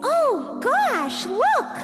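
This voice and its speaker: A little farm girl, plucky and naive, in an old-time radio comedy. She has a very high, childlike voice and speaks slowly over loud music and animal effects. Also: huge pitch swings, exaggerated voice. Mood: curious, naive. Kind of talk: comic old-time radio drama acting.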